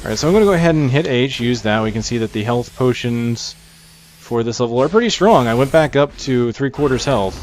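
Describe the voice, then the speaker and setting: A man's voice: mid-range voice, expressive, brisk, darkish tone, slight background noise. A man giving casual gameplay commentary, explaining things conversationally and thinking aloud as he plays. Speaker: gamer presenting a game preview. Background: music behind the voice.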